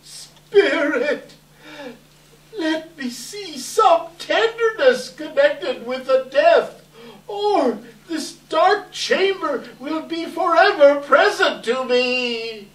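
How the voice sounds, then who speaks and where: A man playing a frightened, pleading old miser on stage, speaking slowly and deliberately in a readers theater performance. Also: very high voice, lively intonation, fairly bright tone, full character voice. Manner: slow, deliberate, imploring. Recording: echoey hall, far from mic.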